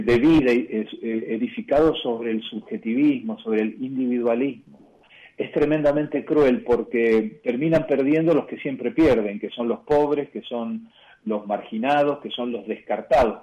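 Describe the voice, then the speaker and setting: A man with an Argentine accent, a bishop answering questions in a radio interview, measured and reflective, often pausing and restarting. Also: mid-range voice, highs cut off. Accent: Argentine Spanish.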